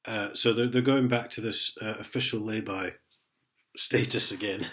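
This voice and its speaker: A man talks to camera in a sarcastic, chuckling vlog rant. His voice sits fairly low and sounds a little stuffed up from a head cold. He reads out official wording in a mocking tone. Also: steady tone, unhurried, a bit bright. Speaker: vlogger. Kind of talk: casual ranting vlog.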